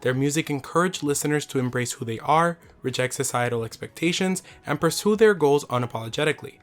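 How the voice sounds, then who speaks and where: A man hosting a scripted video essay, his voice slightly raspy from losing it, reading with enthusiasm. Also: fairly high pitch, animated, brisk, soft background music.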